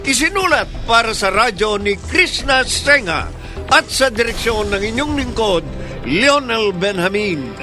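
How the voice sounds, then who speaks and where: A man's voice: high voice, lively intonation, unhurried. A Filipino man announcing for a radio drama from a script, with a formal, dramatic delivery. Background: music.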